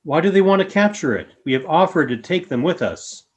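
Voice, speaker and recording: A man voicing a polite, grave alien in a radio play, speaking in a measured, formal way. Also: a little high, lively intonation, lightly acted. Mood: grave, courteous. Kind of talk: audio drama radio play acting.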